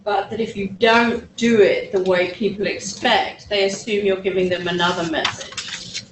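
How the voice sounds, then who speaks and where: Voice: medium pitch, fairly even intonation, moderate pace, a bit bright, a little hiss or hum. Co-lecturer chiming in from across an echoey room, explanatory and wry. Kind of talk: classroom commentary. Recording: roomy, distant from mic.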